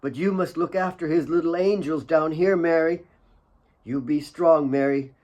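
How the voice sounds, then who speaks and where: A man voicing a gentle, earnest priest in an audiobook, solemn and deliberate as he consoles mourners. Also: a little high, steady tone, voice pushed up, close to an everyday voice. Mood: earnest, urging.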